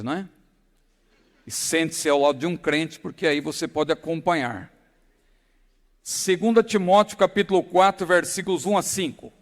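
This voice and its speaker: A middle-aged man with a São Paulo accent, preaching a sermon and reading scripture slowly with emphatic stress. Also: fairly high pitch. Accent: São Paulo Portuguese. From São Paulo, Brazil. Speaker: pastor. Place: large church hall. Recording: long hall reverb.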